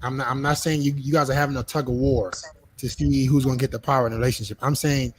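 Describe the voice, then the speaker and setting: A man's voice: medium pitch, steady tone, fast, a little hiss or hum. A man hosting a podcast panel, pressing the guests with questions, often cutting in and repeating himself. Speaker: podcast host. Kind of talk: casual panel discussion.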